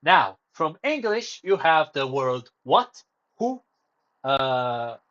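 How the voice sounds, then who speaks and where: A man's voice: a little high, sing-song, slow pace, a bit bright, muffled recording. Rambling man streaming. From Romania. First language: Romanian. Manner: rambling, self-correcting, drifts off topic. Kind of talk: casual solo livestream chat.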